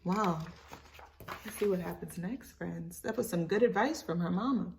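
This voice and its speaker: A woman's voice: lowish voice, moderate pace, gravelly, a little mellow, distant mic, slight background noise. A woman reading a storybook aloud expressively for children, encouraging and speaking to them as friends. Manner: encouraging, addresses young listeners as friends.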